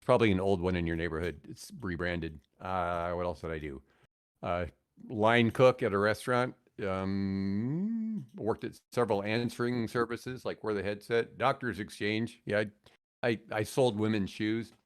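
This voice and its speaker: A man in his sixties with a fairly low voice talks as a podcast guest. He tells stories casually, wandering and rephrasing as he goes. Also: moderate pace, clear, resonant tone. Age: 60s. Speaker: podcast guest, former radio broadcaster. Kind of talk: casual storytelling in a podcast interview.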